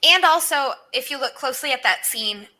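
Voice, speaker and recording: A woman guest on a show, chatting in an animated, opinionated way. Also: high-pitched, brisk. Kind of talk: casual chat.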